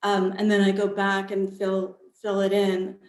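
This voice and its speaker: A woman giving a reflective answer as an author on a panel, halting and thoughtful, in a roomy, echoing recording. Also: medium pitch, monotone, a little mellow. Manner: halting, thoughtful, pauses mid-phrase. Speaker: author on a panel, with theater background.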